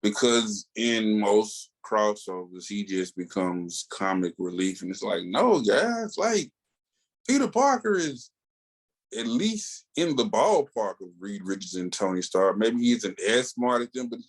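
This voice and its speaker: A man with an American accent and a fairly low voice talks as a podcast co-host. He is casual and animated, telling stories like an enthusiastic fan and dropping in slangy asides. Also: measured pace.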